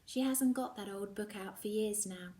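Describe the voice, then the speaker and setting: A woman teacher reading a novel aloud, expressive and slipping into whispers at tense moments. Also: mid-range voice, normal speed, distant mic, a little hiss or hum. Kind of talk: storybook reading.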